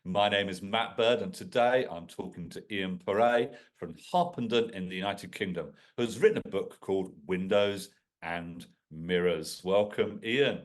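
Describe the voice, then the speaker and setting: A man's voice: low-pitched, moderate pace. A man hosting a podcast, asking friendly, chatty questions of his guest. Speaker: podcast host. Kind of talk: podcast interview.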